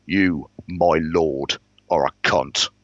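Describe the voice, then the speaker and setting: A man's voice: deep voice, moderate pace, a bit bright, no room sound, muffled recording. A man voicing a foul-mouthed, defiant gangster posing as a priest, blunt and menacing, in a fantasy novel reading.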